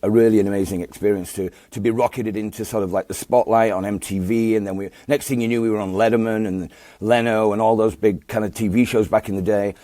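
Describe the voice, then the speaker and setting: A man's voice: fairly low pitch, steady tone, quick pace, dark tone, dry recording. A man in his fifties with a Northern English Yorkshire accent, a guest telling a rambling story full of fillers and restarts. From England. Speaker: guest musician. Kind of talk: relaxed storytelling interview.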